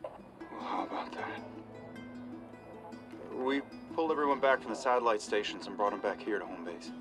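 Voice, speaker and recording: Guitar music plays clearly behind a low-pitched man played by an actor. He is a resistance radio operator in a game drama. He talks in a measured, calm way that is welcoming and reassuring. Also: close to an everyday voice. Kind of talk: naturalistic game cutscene acting.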